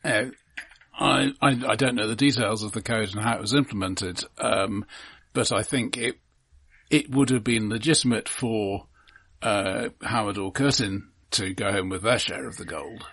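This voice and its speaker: A man co-hosting a film podcast, talking casually in a halting, analytical way. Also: on the low side, a bit bright.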